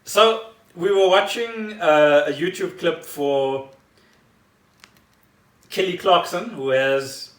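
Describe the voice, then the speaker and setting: A man's voice: high voice, takes it slowly, pauses often, fairly bright tone. A South African man co-hosting a podcast in casual chat, explaining things in a rambling way. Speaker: podcast co-host. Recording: echoey room, distant mic.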